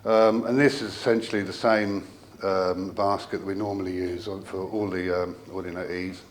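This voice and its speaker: A man with a fairly low voice talks through technical equipment informally, as a company representative would. His delivery is halting, often pausing and trailing into asides. There is noticeable noise in the room behind him. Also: fairly even intonation. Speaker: audio company representative presenting a product. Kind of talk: informal technical product explanation.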